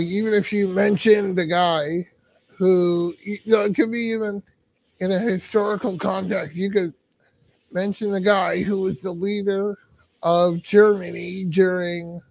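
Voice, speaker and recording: High, gravelly-voiced man hosting. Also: fairly even intonation, measured pace, no room sound, poor audio. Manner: encouraging, affirming interviewer. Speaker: host. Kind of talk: casual interview chat.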